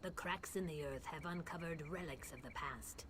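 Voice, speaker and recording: A woman playing a grave mystic who speaks for the dead, solemn, measured and formal, in a fantasy game. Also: low voice, fairly bright tone, a little hiss or hum. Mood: calm, instructive. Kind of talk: scripted fantasy game dialogue.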